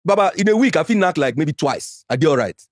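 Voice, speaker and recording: A Nigerian man in his forties telling a painful personal story as a podcast guest, animated and slipping into Pidgin when worked up. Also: fast talker, no echo, studio quality. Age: 40s. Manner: animated, mixes Pidgin, gets loud and high when worked up.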